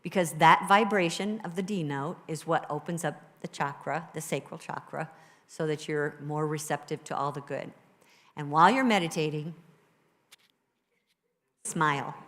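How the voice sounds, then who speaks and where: A woman minister with a low voice, preaching a sermon and leading a guided meditation in a conversational, encouraging way to a live congregation. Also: moderate pace, fairly bright tone. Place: church sanctuary with a live congregation. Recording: live church recording with long reverb.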